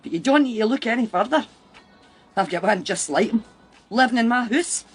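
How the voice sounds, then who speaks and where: A woman's voice: on the high side, lively intonation, normal speed, husky, faint music behind. An actress playing a harassed, blunt working-class mother with a Scottish accent in a radio crime drama. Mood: defiant. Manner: blunt, emphatic, colloquial.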